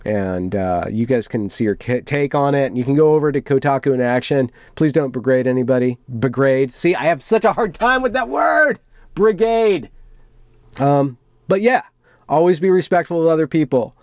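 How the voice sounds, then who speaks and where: A man's voice: medium pitch, lively intonation, normal speed, a little mellow, dry recording. A man giving wry commentary on internet culture as a video host, turning mock-dramatic when he reads things aloud. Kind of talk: commentary on internet culture, reading out a post and reacting.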